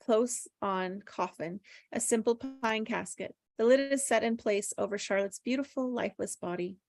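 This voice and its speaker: Creaky-voiced woman reading stage directions. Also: mid-range voice, moderate pace. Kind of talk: scripted narration at a table read.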